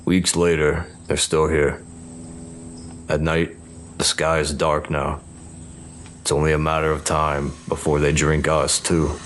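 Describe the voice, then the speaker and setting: Very deep, flat-voiced male narrator. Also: a little hiss or hum. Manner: grave and measured. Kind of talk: scripted dramatic narration. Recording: music bed underneath.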